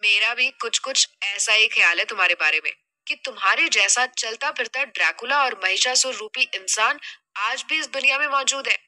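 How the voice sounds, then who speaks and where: A woman's voice: fairly high pitch, moderate pace, sharp tone. A woman voicing a feisty, sarcastic young woman in an audio drama, with an annoyed, teasing manner.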